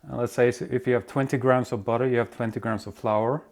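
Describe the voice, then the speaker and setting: A man from Belgium hosting his own cooking show, walking through a recipe in a relaxed, step-by-step way in a home kitchen. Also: mid-range voice, a little fast, creaky. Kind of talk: casual cooking demonstration.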